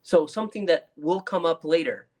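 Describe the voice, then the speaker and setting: Higher-voiced man giving emphatic casual opinions. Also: normal speed, a little mellow. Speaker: podcast co-host reviewing audio dramas. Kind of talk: casual review chat.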